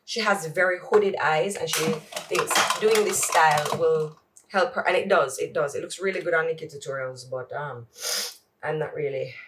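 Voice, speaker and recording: A woman's voice: low-pitched, fairly bright tone. Sniffly woman vlogging from afar. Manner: rambling, joking, self-talk asides. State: sniffly, congested nose. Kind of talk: casual chatty vlog while doing makeup. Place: home closet. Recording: voice from far off the mic.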